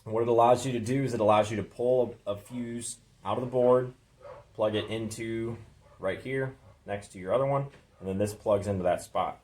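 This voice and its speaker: A man's voice: lowish voice, steady tone, normal speed, a little mellow, slight background noise. A man hosting a casual DIY garage vlog, talking informally through a hands-on job as he works. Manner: informal, talks while working. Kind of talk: casual hands-on how-to explanation.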